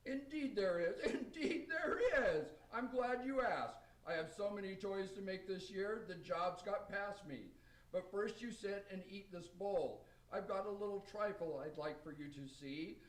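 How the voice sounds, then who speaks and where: Man acting jolly old Santa, high-pitched, roomy sound. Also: expressive, unhurried. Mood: jolly, generous. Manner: hearty, rhyming theatrical delivery. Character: jolly, hospitable old Santa Claus, Saint Nicholas. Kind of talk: amateur radio theater acting. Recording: distant, roomy live radio stage recording.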